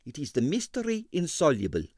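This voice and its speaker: A man's voice: on the high side, huge pitch swings, a little fast, darkish tone, no room sound, studio quality, voice pushed up. A man voicing a sentimental, money-minded ballet impresario in a storybook, effusive and theatrical, with a thick foreign accent and broken English. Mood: mournful, puzzled. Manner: theatrical, effusive, French interjections.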